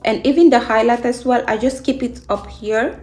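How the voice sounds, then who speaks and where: A woman's voice: on the high side, moderate pace, darkish tone, soft background music. A woman in her late twenties from Guinea, a beauty vlogger chatting casually in an upbeat, emphatic way, repeating phrases to encourage her viewers. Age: late 20s. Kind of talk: casual get-ready-with-me chat.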